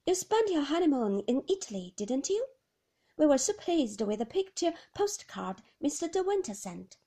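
A woman voicing a brisk, relentlessly cheerful nurse who chats in a high, bright manner. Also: sing-song. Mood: chirpy. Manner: bright, chirpy, chatty.

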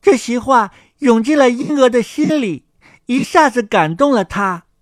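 A woman's voice: fairly high pitch, lively intonation, a little slow, no room sound, clean studio sound. A woman giving a scripted narration of a fairy tale, as a storyteller.

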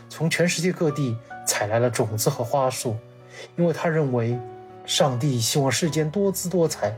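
A man's voice: medium pitch, darkish tone. Man narrating a story over music. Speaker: podcast guest. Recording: music behind the voice.

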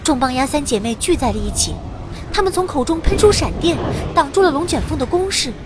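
A woman playing a young heroine who recounts her party's fantasy adventure in vivid, expressive audio drama narration. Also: sits high, brisk, background noise. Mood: tense narrating.

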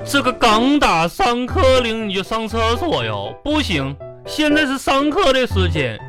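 A woman's voice: on the high side, very animated. A woman playing an exasperated, nagging primary school teacher, drawing out words as she lectures in a radio comedy sketch. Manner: drawn-out, lecturing, sing-song delivery. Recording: music behind the voice.

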